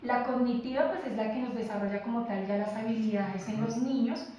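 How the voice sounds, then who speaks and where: Woman teacher in an echoey room, slightly high voice. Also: fairly quick, slight background noise. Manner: steady explanation with frequent filler "pues". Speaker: teacher, preschool education graduate. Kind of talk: academic panel discussion. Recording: echoey room, far from the mic.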